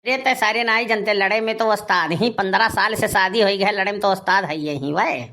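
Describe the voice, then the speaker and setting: A man's voice: high-pitched, brisk, sharp tone. A man playing an aggrieved, boastful village husband in a cartoon comedy, grumbling and scolding with a rustic eastern Uttar Pradesh accent. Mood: irritated. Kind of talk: Awadhi cartoon comedy dialogue.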